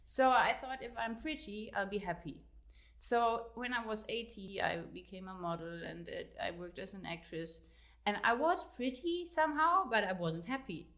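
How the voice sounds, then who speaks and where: A woman's voice: medium pitch, normal speed, fairly bright tone. Chatty woman with a German accent. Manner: chatty, many fillers, laughs easily. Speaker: guest author. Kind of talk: casual interview chat.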